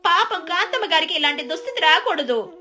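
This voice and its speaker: A woman's voice: very high voice, very animated, a little fast, bright, forceful tone, pitched above the performer's own voice, exaggerated voice. A woman voicing a gossipy neighbour with exaggerated pity and squeamish excuses in a village story. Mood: squeamish, evasive.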